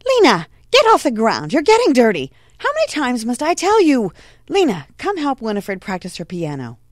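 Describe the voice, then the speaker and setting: Woman acting a strict, shrill society mother. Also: wildly swooping pitch, moderate pace, dry recording, studio quality. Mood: scolding. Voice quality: shrill when scolding. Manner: prim, commanding. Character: strict, snobbish society mother, scolding and domineering. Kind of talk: period audio drama acting.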